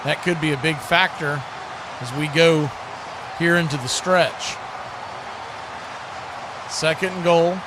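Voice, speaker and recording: A man's voice: on the high side, takes it slowly, long pauses. A man giving live play-by-play commentary on a football game as the commentator. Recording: clearly noisy live stream audio.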